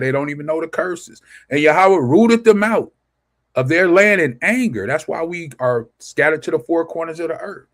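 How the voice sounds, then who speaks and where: A man's voice: a little high, a bit bright. Preachy man leading scripture study. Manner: preachy, asks rhetorical questions and answers them. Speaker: scripture teacher. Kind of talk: informal scripture study livestream.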